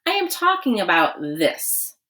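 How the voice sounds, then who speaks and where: A woman voicing a stern, formal female language arts teacher in a storybook, speaking in measured phrases. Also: medium pitch, huge pitch swings, bright, forceful tone, voice pushed up, exaggerated voice. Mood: stern, accusing.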